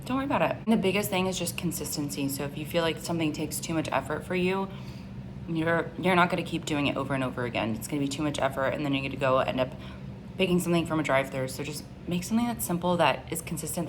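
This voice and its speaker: A woman's voice: sits low, expressive, fast talker, background noise. A woman in her thirties from Finland, a nutritionist chatting casually to viewers as she narrates her day of eating. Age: 30s. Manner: casual, chatty, upbeat, addresses viewers as guys. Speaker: nutritionist and vlogger. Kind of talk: what-I-eat-in-a-day vlog narration.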